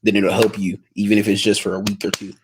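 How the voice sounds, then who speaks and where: A teenage boy with a fairly low voice talks casually. He rambles and keeps asking "right?". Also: level tone, fast talker, slight background noise. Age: teens. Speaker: son of the host. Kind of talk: casual chat.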